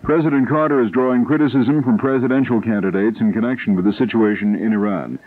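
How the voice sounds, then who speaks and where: A man's voice: mid-range voice, quick pace. An American man reading scripted news and advertising copy as a radio anchor. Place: radio studio. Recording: narrow, tinny 1970s AM radio.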